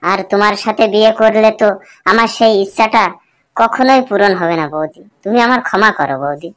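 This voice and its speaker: A man's voice: high-pitched, brisk, fairly bright tone, clear, resonant tone. Man voicing a bawdy, grumbling young village bachelor. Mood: pleading, stubborn. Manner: colloquial, complaining, pleading. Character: Futo, bawdy young bachelor, pleading and evasive. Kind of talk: comic cartoon dialogue and muttered asides.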